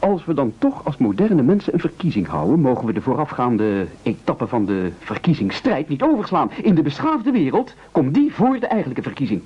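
An actor playing an anxious, selfish, fussily polite castaway gentleman in an absurdist radio play, hesitant and stammering over words. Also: medium pitch, huge pitch swings, a little fast, hardly any pauses, husky. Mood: fussy, insistent. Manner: hesitant, stammering repetitions, indignant. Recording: dull lo-fi old radio recording.

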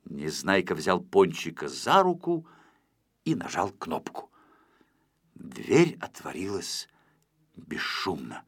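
A man narrating a children's story as a dramatized audiobook, in an expressive, dramatic way. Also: sits low, slow, gravelly, pauses often, slightly rough. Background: music.

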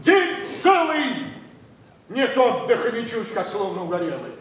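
Pompous blustering father, high and echoey. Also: sing-song, a little slow, fairly bright tone, exaggerated voice. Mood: blustering, aggrieved. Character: blustering, grumbling middle-aged widowed nobleman father, pompous. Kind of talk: classical verse stage acting. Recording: very echoey, distant, music behind.

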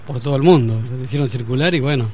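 A man in a casual conversation, reflective, often pausing on "este". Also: medium pitch, warm tone, a little hiss or hum. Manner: reflective, uses filler "este".

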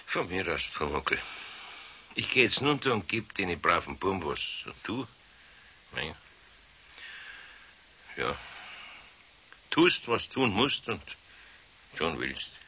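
An actor playing a good-hearted older master carpenter in a 1970s radio play, with a Bavarian accent and a hesitant, husky voice. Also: male voice, low-pitched, very animated, takes it slowly, pauses often, pushed and bright, a little hiss or hum, exaggerated voice. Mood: subdued, stern. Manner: hesitant, mumbled repeated affirmations.

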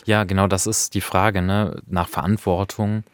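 A man's voice: sits low, flat delivery, normal speed, clear, resonant tone, dry recording. A man co-hosting a radio podcast, talking reflectively and explaining as he searches for his words. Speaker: podcast co-host. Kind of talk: conversational radio discussion of audio drama. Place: dry radio studio. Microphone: close studio microphone.

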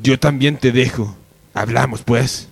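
A man's voice: mid-range voice, unhurried, gravelly, over music. A man playing an earnest, soothing best friend in an amateur radio soap opera. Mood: calming.

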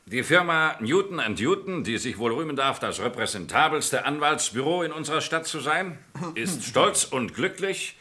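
An actor playing a pompous senior partner of a law firm, speaking in a formal, ceremonious way in an old radio crime play. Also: male voice, a little high, big pitch swings, normal speed, bright, forceful tone. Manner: formal, ceremonious speech-making. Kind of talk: 1950s-80s German radio crime play acting.

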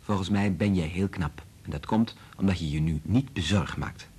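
A man playing a kind, patient scientist in a radio drama, speaking in a gentle, reassuring, informal way. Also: deep voice, moderate pace, breathy edge. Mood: encouraging.

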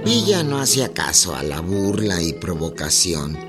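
An actor narrating history gravely in a scripted radio drama. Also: male voice, deep voice, wildly swooping pitch, normal speed, exaggerated voice. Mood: grave, measured. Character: grave, measured male storyteller of history. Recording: voice over loud dramatic music.

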